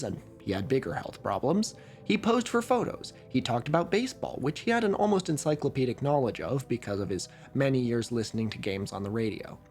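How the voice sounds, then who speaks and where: Documentary narrator, male, from Oregon; wry, lively delivery over light music. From Oregon, USA. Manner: wry, conversational storytelling with lively pitch swings.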